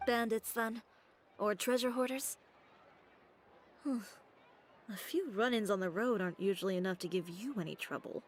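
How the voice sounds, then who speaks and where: A woman's voice: medium pitch, moderate pace, pauses often, music in the background, lightly acted. A woman voicing a confident, blunt young female fashion designer with a composed, dry manner, in an anime-style video game. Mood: probing, dry.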